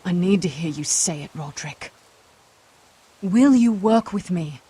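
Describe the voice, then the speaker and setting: Young noblewoman for fantasy game: dark-toned, lowish, guarded, earnest, grave and formal. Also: lively intonation, a little hiss or hum. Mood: earnest, pleading. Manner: measured, grave, formal phrasing. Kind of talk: video game drama voice acting.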